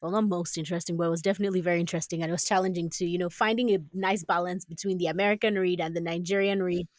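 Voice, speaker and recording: A woman's voice: lowish voice, steady tone, very fast, dry recording. A woman voice actor talking casually and reflectively as an interview guest, in a thoughtful, conversational manner.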